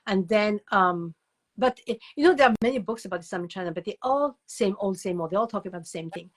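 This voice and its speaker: An older woman giving conversational interview answers as a guest author, telling stories in an animated, digressive way with emphatic repetition. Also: mid-range voice, fast talker.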